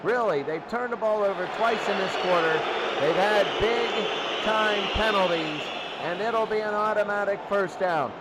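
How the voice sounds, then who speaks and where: A high-pitched male play-by-play commentator calls a football game as if for live radio. His calls are short and clipped, often repeating a phrase, and loud noise surrounds him throughout. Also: a little slow. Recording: very noisy game ambience.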